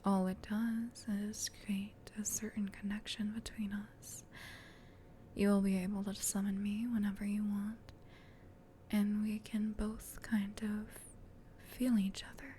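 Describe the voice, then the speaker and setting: A woman voicing a gentle, protective female demon in a soft, soothing whisper for an ASMR comfort roleplay. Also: mid-range voice, flat delivery, slight background noise, close to an everyday voice. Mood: gentle, reassuring. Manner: soothing, tender, reassuring, unhurried.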